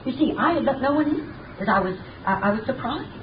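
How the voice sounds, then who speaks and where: A woman's voice: high-pitched, lively intonation, fast talker. An actress playing a nervous, deferential housekeeper in a 1930s radio drama, stammering through her answers. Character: nervous middle-aged female housekeeper. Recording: muffled noisy 1930s radio recording from a distance.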